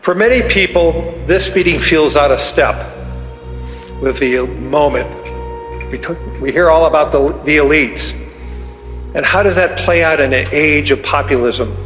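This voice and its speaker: A man delivering a formal prepared address in a measured, earnest way. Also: fairly high pitch, a bit bright. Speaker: event leader giving opening address. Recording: echoey hall reverb, music underneath.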